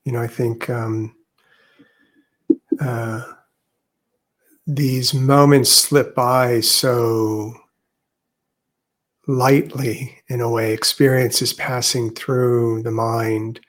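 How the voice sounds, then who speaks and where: A man guides a meditation in a medium-pitched voice with very little rise and fall. He speaks gently and very slowly, leaving long pauses between short phrases as a teacher would. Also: level tone.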